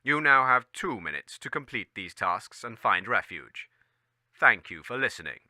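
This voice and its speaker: A man playing a deadpan, officious government announcer reading a scripted public warning broadcast. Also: lowish voice, moderate pace, dry recording, studio quality, heavily acted.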